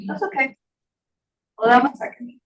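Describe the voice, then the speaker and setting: A woman organizing a conference session, briskly sorting out the microphones in casual chat. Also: high-pitched, animated, low-quality recording.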